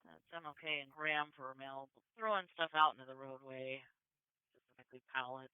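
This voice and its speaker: Crisp male police dispatcher on tinny radio. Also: mid-range voice, steady tone, quick pace, no room sound. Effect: two-way radio.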